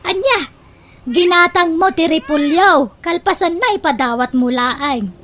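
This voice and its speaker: Woman acting a high-pitched, nosy village auntie. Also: unhurried, fairly bright tone. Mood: teasing, nagging. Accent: Ilocano. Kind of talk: Ilocano comedy radio drama acting.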